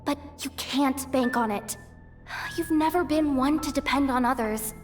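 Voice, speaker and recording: Scrappy young hacker gal, game character, high voice, unhurried, talks to herself all dramatic, music behind. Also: female voice. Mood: self-coaxing, plotting. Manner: exasperated, theatrical muttering and self pep talk. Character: feisty young woman hacker, scrappy, self-reliant, talking to herself. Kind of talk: animated video game voice acting.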